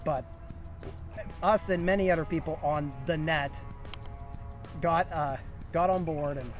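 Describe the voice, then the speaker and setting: Young male host, slightly high voice, casual joking banter, outdoor recording with music underneath. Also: steady tone, unhurried. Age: young adult. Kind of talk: casual video introduction with banter. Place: outdoors at a historic site.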